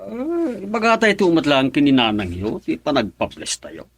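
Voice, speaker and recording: A man's voice: on the high side, sing-song, normal speed, husky, heavily acted. A man playing an easygoing middle-aged father in an Ilocano radio drama, with an Ilocano accent. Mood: cheerful.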